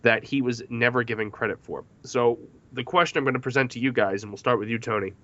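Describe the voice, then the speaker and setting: A man's voice: mid-range voice, steady tone, fast, bright, forceful tone. A man hosting a film podcast, moderating the discussion and putting questions to panelists. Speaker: podcast host. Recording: dull lo-fi podcast audio.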